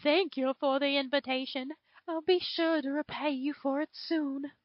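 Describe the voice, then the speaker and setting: A woman doing a soft-spoken hero guy. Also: high voice, fairly even intonation, moderate pace, dry recording, lo-fi sound, close to an everyday voice. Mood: tender. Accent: Southern US. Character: smooth, gentle male alien hero.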